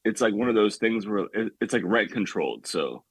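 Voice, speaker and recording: Young man, caller, low-pitched, dry close sound, rambling, says "like" constantly. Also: lively intonation, fairly quick, slightly rough, dry recording. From San Francisco Bay Area. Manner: rambling, heavy use of "like", many restarts. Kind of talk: casual call-in chat.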